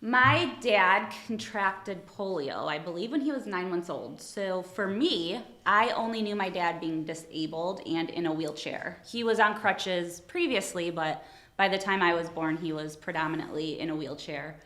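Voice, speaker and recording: A woman's voice: lowish voice, normal speed, pushed and bright, echoey room. A woman from Ohio working as an attorney, chatting casually in an interview, friendly and modest, laughing often. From Toledo, Ohio. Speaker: attorney, guest.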